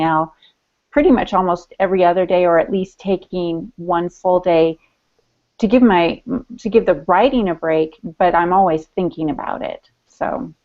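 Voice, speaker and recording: A woman's voice: on the low side, darkish tone, muffled recording. A woman co-hosting a podcast, talking casually and candidly, often qualifying what she says. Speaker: podcast co-host and writer.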